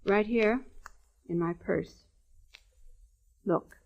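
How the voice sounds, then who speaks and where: An American actress playing a businesslike female gem dealer, crisp and matter-of-fact, in old-time radio drama style. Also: medium pitch, extremely slow. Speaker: radio actress in supporting part. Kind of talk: 1940s-50s American radio drama acting. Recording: dull old radio recording.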